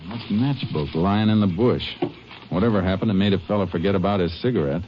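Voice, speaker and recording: A man acting a calm, methodical Texas Ranger in a 1950s radio drama, terse and matter-of-fact. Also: low-pitched, brisk, lightly acted. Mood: focused. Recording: muffled, noisy old radio recording.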